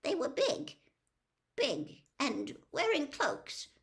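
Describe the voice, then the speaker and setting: Woman voicing a quavery old woman, nervous, batty, high and bright, gabbling. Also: wildly swooping pitch, slow pace, full character voice. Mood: flustered. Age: older. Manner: gabbling, flustered.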